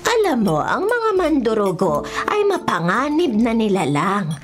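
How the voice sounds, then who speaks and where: An ominous old granny whose pitch swings dramatically from line to line. She speaks like a superstitious storyteller giving warnings in a radio drama, with faint music behind. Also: wildly swooping pitch, measured pace. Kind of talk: radio horror drama acting.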